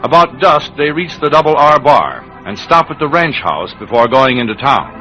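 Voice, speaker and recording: A man narrating a western adventure for old-time radio in a measured, dramatic storytelling style. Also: medium pitch, sing-song, lightly acted. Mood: suspenseful. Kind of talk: 1940s-50s radio scripted narration. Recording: dull old radio recording. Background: loud music.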